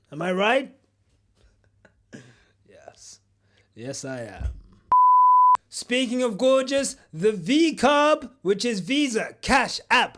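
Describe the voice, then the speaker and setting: Mock-pompous man, high voice. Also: vocal fry, bright, forceful tone. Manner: mock-pompous, boastful, jokey asides. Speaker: comedic news host. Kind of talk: parody news commentary.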